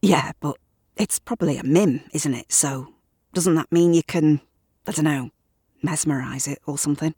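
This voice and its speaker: A woman's voice: deep voice, normal speed, warm tone, slightly rough, dry recording, studio quality. A woman voicing an agitated, argumentative middle-aged man, talking in heated, sarcastic bursts. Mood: urgent.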